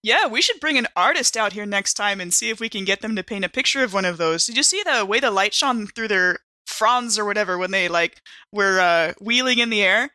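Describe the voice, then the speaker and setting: A woman's voice: medium pitch, lively intonation, a little fast, pushed and bright, no room sound. A woman playing a chatty, playful, slangy member of a space expedition, rambling breezily in a tabletop roleplay. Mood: musing. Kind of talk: improvised tabletop roleplay banter.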